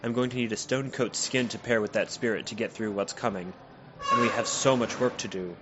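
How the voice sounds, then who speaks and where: Audio drama community leader male actor: earnest, determined, lowish voice, noisy lo-fi recording. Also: steady tone, fairly quick, lightly acted. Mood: earnest, resolute.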